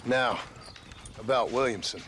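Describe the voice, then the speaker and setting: An actor playing a rugged, courteous Western gunslinger in a game cutscene, terse and dry. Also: male voice, mid-range voice, measured pace, fairly bright tone, natural voice. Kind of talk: video game cutscene acting. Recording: noisy outdoor Western ambience.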